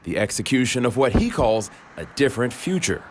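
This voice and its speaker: A man's voice: mid-range voice, very animated, a little fast. A male reporter delivers scripted TV news narration in a mid-range voice. There is faint noise behind him.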